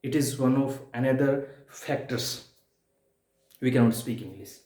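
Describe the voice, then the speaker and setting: A man from India with an Indian accent and Hindi as his first language, giving a spoken-English lesson in a repetitive way, with rhetorical questions and emphatic pauses. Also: medium pitch, mellow. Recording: echoey room.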